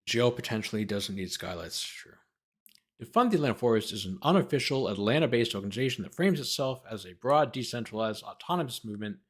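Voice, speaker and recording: A man's voice: mid-range voice, lively intonation, fast. A man hosting a legal commentary show, reading a document aloud and breaking off for sardonic off-the-cuff asides. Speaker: host, lawyer commentator.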